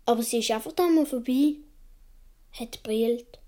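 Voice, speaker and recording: A woman's voice: a little high, measured pace, warm tone, lightly acted. A woman with a Swiss accent playing a naive young boy of seven or eight, telling his story in a radio play. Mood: wistful, matter-of-fact.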